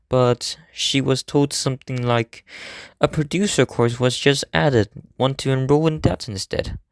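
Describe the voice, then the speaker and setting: Smooth, earnest teenage idol student played by a man. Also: medium pitch, no echo, close to an everyday voice. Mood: serious, explanatory. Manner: formal, measured, long-winded explaining. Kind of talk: scripted anime game fan dub acting.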